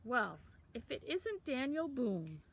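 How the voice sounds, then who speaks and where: Woman reader doing a brash teenage townie, higher than her narration, teasing and streetwise, with a little gravel. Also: big pitch swings, takes it slowly, slight background noise, full character voice. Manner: brash, slangy, drawling. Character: cocky teenage town boy, teasing and streetwise.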